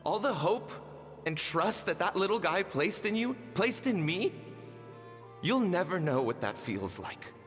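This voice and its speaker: A fairly high male voice, swinging widely with feeling, plays a loyal, righteous younger brother in a fantasy game. He is intense and full of wounded reproach. His words ring in long reverb. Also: very animated, a little slow, fairly bright tone, full character voice. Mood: bitter, reproachful. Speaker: voice actor in a video game. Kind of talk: dramatic game dialogue. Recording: long reverb, music underneath.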